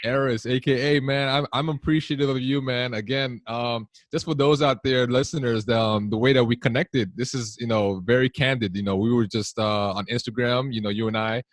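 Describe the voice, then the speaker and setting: A man hosting a casual podcast, rambling warmly with many fillers and restarts. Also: medium pitch, fairly even intonation, a little fast, few pauses, dry recording. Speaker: podcast host.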